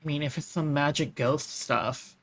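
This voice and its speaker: Low-voiced woman streaming a game. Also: fairly even intonation, moderate pace, fairly bright tone. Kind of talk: casual live game commentary.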